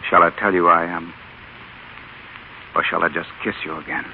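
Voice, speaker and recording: An actor in an old radio drama playing a gentle, lonely gentleman in love, speaking softly in a measured, tender way. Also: deep voice, flat delivery, creaky, slight background noise. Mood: romantic. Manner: soft-spoken, tender, measured. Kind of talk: 1930s radio drama acting. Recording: thin, tinny old radio broadcast.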